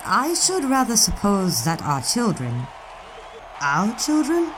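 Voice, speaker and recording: A woman's voice: medium pitch, wildly swooping pitch, takes it slowly. An actress playing an opinionated young woman of the 1920s, arch, refined and playfully scolding in an audio drama. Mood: playful, affectionate. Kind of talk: period audio drama acting. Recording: clearly noisy outdoor ambience behind.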